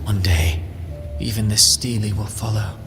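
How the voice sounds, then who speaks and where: A cold, theatrical game villain played by a man with a lowish voice and a slow, portentous delivery. He taunts with measured fatalism over clearly audible music. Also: lightly acted. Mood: ominous calm. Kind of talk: dramatic video game villain monologue.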